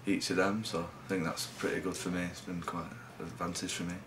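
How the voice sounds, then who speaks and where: A young man from England, a rugby league player giving low-key, halting interview answers. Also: little pitch movement, background noise.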